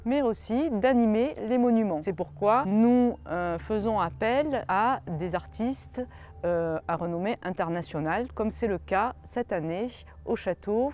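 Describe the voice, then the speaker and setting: A middle-aged woman speaks outdoors beside a stone wall as a monument's administrator. Her voice is warm and mellow at an ordinary pitch. She talks in a measured way with frequent hesitations. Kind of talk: on-camera interview statement.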